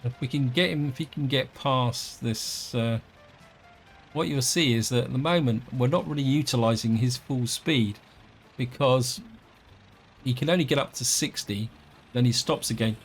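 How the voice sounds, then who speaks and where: A man's voice: mid-range voice, moderate pace, faint music behind. A man with a wheezy voice from an allergic reaction, giving rambling, self-correcting commentary as he plays a city-building game. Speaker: let's play host. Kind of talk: casual gameplay commentary.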